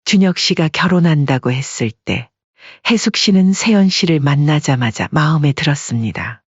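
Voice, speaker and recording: A husky-voiced narrator with a medium pitch delivers scripted story narration. The recording is very dry and a little dull. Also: lively intonation, normal speed, no echo.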